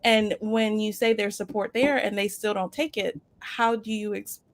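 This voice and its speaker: Frank, animated woman co-host. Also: medium pitch, normal speed. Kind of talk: casual panel discussion.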